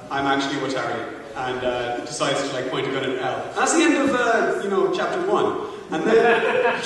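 A man's voice: a little high, a bit bright, a little hiss or hum. A young man giving a casual, rambling and amused story recap as a panelist. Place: large hall at a panel table, far camera. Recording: very echoey hall, distant microphone.

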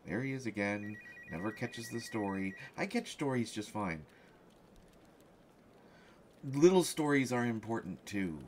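Man acting flustered, stammering reporter, swooping pitch. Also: lively intonation, a little hiss or hum. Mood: defensive, wounded. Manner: stammering, halting, exasperated. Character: flustered, distracted male reporter, jealous and self-defensive. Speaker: voice actor in a solo roleplay audio. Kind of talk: one-sided conversational audio roleplay.

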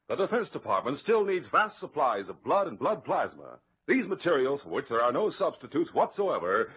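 Husky, very animated American radio announcer. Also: male voice, on the high side. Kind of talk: 1950s radio public service appeal. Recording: dull vintage radio recording.

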